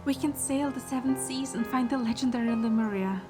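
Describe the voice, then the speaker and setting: A woman's voice: fairly high pitch, brisk, natural voice. A woman playing a devoted young heroine in love, narrating an audio drama in the first person with earnest, dramatic delivery. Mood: tender, playful. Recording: loud music bed under the voice. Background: loud music.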